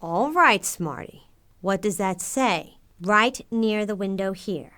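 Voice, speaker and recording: A woman playing a mother and nun in an audio drama, with a deliberate, dramatic delivery. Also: mid-range voice, expressive, fairly bright tone. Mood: scolding, doubtful. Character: stern, busy mother, later a reflective nun.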